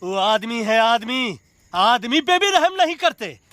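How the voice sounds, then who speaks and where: A man voicing a blustering monkey army commander in an animated film, mocking and bombastic, with a Bhojpuri-flavoured Hindi accent. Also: very high voice, slow, slight background noise, heavily acted. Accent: Bhojpuri-influenced eastern Hindi.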